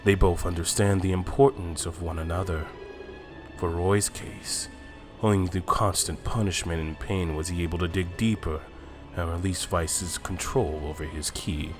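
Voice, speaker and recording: A man playing a calm, amused, scheming fighter in an anime fan drama, smug, deliberate and musing. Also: low-pitched, music in the background, lightly acted.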